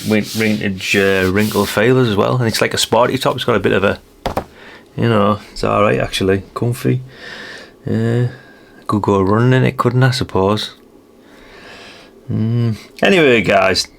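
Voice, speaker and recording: A man's voice: lowish voice, vocal fry. A British man in his fifties chatting casually to viewers in a musing, rambling way, often tagging questions onto his remarks and correcting himself. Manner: musing, unhurried, frequent tag questions and self-corrections. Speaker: radio hobbyist presenting a video. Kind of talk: casual rambling vlog chat.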